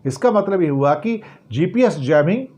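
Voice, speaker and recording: A man presents news commentary in a somewhat high-pitched voice. He explains things directly to the viewer with emphasis. He leans on rhetorical questions and restates key phrases. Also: animated, moderate pace, a little mellow.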